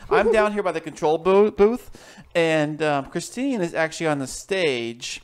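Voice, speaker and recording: A man's voice: on the high side, expressive, smooth. A man co-hosting a podcast, joking around in casual chat. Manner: jokey, playful, quick to restart words. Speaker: podcast co-host. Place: home studio.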